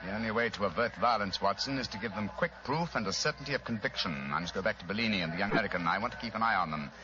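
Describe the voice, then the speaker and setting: An actor playing a shrewd, commanding English gentleman detective in an old radio drama, precise, with an English accent. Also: fairly low pitch, lively intonation, very fast, close to an everyday voice. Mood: composed. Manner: urbane, precise, authoritative. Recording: muffled, noisy old radio recording.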